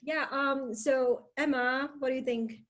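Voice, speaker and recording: A woman's voice: high voice, fairly even intonation, measured pace, noisy background. A young woman from Singapore hosting a casual group chat on a video call, guiding it with questions. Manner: guides the talk with questions. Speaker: host.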